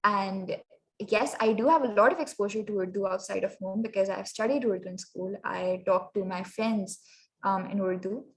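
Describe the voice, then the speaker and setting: A young Pakistani woman presents a workshop in a relaxed, chatty way, her English carrying a clear Pakistani accent. Her voice sits at a middling pitch, and she leans on lots of ums and uhs, now and then dropping into Urdu. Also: moderate pace, rough recording. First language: Sindhi. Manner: chatty, many fillers, slips into Urdu phrases. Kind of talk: casual presentation.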